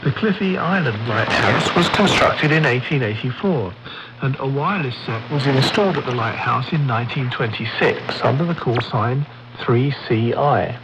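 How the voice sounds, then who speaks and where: A man's voice: mid-range voice, expressive, moderate pace. A man reading a scripted feature as a radio presenter, heard through a shortwave broadcast. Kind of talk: scripted narration. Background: radio static and noise.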